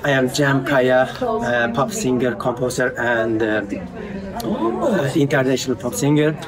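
A young man with a Kurdish accent, a pop singer guest at an event, speaking halting broken English with many fillers. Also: medium pitch, very animated, a loud crowd, low-quality recording. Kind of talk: red-carpet interview. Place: crowded event hall, in front of a banner.

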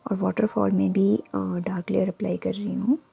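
Woman art tutor, gravelly and warm, calm step-by-step voice-over with faint music, slightly dull recording. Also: medium pitch. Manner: calm and instructive, with frequent pauses and fillers. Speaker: art teacher. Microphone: voice-over, camera on hands and canvas.

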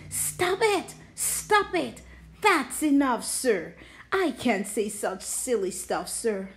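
Storybook creature, male, fed up and whining, pitched high, pleading in big swoops played by a woman. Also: lively intonation, slow, slight background noise. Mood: exasperated, indignant. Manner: protesting, pleading. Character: exasperated, whining male creature worn out by tongue-twisters.

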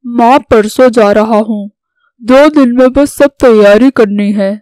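A woman's voice: a little high, fairly even intonation, slow pace, warm tone, dry recording, rough recording. A woman voicing an earnest, patriotic young man in a cartoon story, speaking in declarations. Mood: earnest, resolute. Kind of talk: scripted Hindi cartoon story dialogue.

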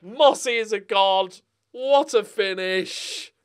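A man's voice: high voice, takes it slowly, smooth. A middle-aged man giving casual, sweary commentary on a football manager game, talking to his players and viewers into a close mic. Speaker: gaming streamer. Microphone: close desk microphone.

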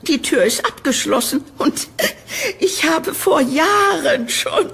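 Eccentric old landlady, swooping and high. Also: lively intonation, creaky, a bit bright, exaggerated voice. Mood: evasive, halting. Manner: theatrical, halting, doting, with nervous chuckles. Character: eccentric old landlady, sweetly eerie and gushing. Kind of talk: audio drama acting. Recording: reverberant room, background noise.

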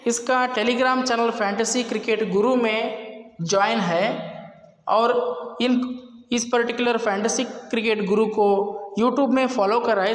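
A man who is not a native Hindi speaker, earnestly explaining a recorded phone call and pleading with viewers to listen. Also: high-pitched. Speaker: channel host commenting on call recording. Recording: echoey room with long reverb.

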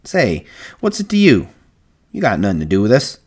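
A man's voice: on the low side, huge pitch swings, moderate pace, husky, exaggerated voice. A man as a plain-spoken, folksy ranch hand. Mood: guarded. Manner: plain-spoken, folksy, rustic American speech. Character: shrewd, guarded, dreaming American ranch hand.

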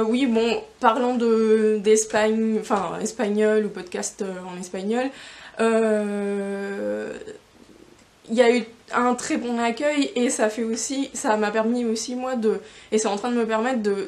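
A woman's voice: fairly high pitch, steady tone, unhurried, darkish tone. Young Spanish-born woman, non-native speaker, casual podcast host, rambling with fillers, camera mic in a shop. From Spain. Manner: rambling, many fillers and restarts, gesturing with hands. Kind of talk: casual video podcast chat. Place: yarn shop in front of shelves.

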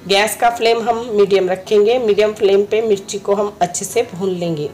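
A woman with a slightly hoarse throat, a home cooking host, calmly explaining each step of a recipe in her kitchen. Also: medium pitch, moderate pace, faint music behind. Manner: instructive, friendly, repeats steps.

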